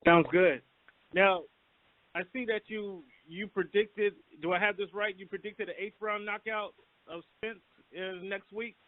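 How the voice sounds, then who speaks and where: A man with a high-pitched voice puts questions as a reporter on a press call. The sound is dry and thin, as heard down a telephone line. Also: steady tone, unhurried, dry recording. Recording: thin phone conference line.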